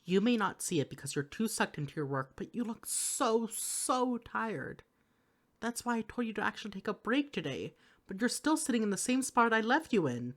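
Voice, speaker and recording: Woman acting a worried, pleading girlfriend, expressive pitch. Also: moderate pace. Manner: earnest, pleading, caring scolding. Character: worried, exasperated female partner of an overworked listener. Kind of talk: intimate audio roleplay monologue addressed to the listener.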